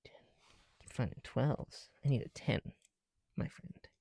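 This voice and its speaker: A soft-spoken man chatting casually in an ASMR style, often dropping into whispers, with a creaky voice and a rambling, halting delivery, close to the mic. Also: no echo. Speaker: ASMR video creator. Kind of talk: casual ASMR chat while building bricks.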